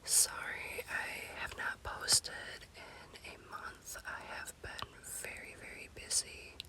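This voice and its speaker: A woman whispering in a hushed, unhurried manner as an ASMR storytelling host. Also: low-quality recording.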